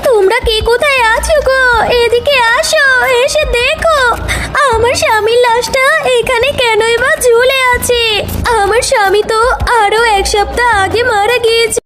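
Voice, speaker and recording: A woman's voice: very high voice, fairly even intonation, normal speed. A woman voicing a distraught, wailing widow in a children's cartoon story. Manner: wailing, exclaiming. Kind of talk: animated children's story dialogue. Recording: music behind.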